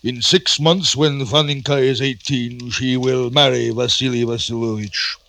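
Slow, ponderous old general. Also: male voice, mid-range voice, steady tone, gravelly, bright, forceful tone, slight background noise. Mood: mournful. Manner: slow, ponderous, theatrical repetition. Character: sentimental, mournful old Russian general, fatherly and grandiose. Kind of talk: old-time radio drama acting.

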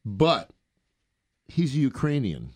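A man's voice: mid-range voice, huge pitch swings, takes it slowly. A man hosting a talk radio show, chatting casually with a joking, teasing manner.

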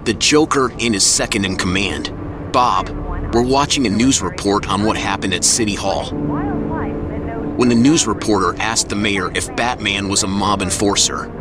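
A man's voice: fairly low pitch, normal speed, background noise. A man reading scripted narration for an audio drama. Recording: loud music behind the voice. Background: music.

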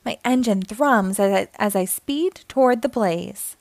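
A woman narrating a children's picture book aloud with animated, dramatic storytelling aimed at young children. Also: medium pitch, measured pace, dry recording. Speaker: storybook reader, host.

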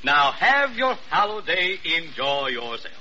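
A fussy, self-important hospital chief in a vintage radio drama. He speaks formally and emphatically in a sharp, highish, very animated voice, heard through a muffled old recording with faint noise. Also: unhurried, exaggerated voice. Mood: pompous, magnanimous. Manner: pompous, formal, emphatic repetition. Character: pompous, fussy senior hospital chief handing out praise. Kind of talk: 1940s-50s American radio drama acting.

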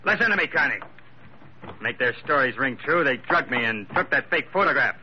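A man's voice: mid-range voice, lively intonation, brisk, natural voice. An actor playing an insistent, menacing plantation manager in an old-time radio drama, pressing his case urgently. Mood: urgent pleading. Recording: muffled, noisy old radio recording.

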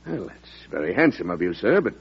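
Proud American senator, formal and prickly; very husky low voice, vintage 1940s radio play. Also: male voice, big pitch swings, brisk, exaggerated voice. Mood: stiff, courteous. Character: proud, formal male senator, prickly but courteous rival. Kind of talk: 1940s radio drama acting. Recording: muffled old radio recording.

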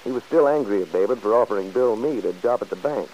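A man's voice: on the low side, lively intonation, quick pace, mellow, lo-fi sound. A man reading scripted story narration for an old radio serial, in the style of 1940s broadcasting. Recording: hissy old radio recording.

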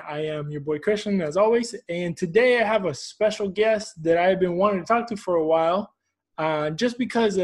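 A man from Houston hosting a casual, informal soccer interview show, chatting in friendly slang. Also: sits high. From Houston, United States.

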